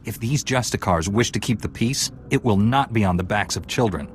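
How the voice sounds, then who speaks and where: An actor playing a calm, resolute space knight hero in a video game, speaking in a measured, earnest way. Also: male voice, fairly low pitch, slight background noise, natural voice. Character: calm, resolute heroic Jedi knight, adult male. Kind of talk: video game character acting.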